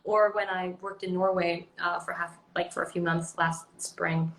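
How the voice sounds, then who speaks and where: A woman's voice: lowish voice, little pitch movement, normal speed, fairly bright tone, reverberant, rough recording. A young adult woman from Sweden with a Swedish accent, chatting casually as an interview guest, rambling with many ums and likes. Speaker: actress guest.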